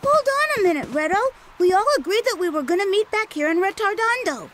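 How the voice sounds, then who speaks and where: A man's voice: very high voice, very animated, a little fast, fairly bright tone, slight background noise, exaggerated voice. An actor playing a friendly, teasing young boy adventurer in an English video game dub.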